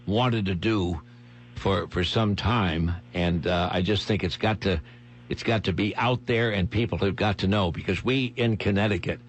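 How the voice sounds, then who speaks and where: A man hosting a talk radio interview, speaking in a halting, emphatic way and repeating his phrases. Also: sits low. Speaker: radio host. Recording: clear background noise and hum.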